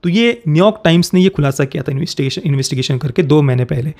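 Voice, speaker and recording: A man's voice: a little high, animated, very fast, darkish tone. A man in his thirties with an Indian accent gives opinionated news commentary to camera, emphatically repeating and rephrasing his points. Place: small indoor home studio. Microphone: close desk microphone.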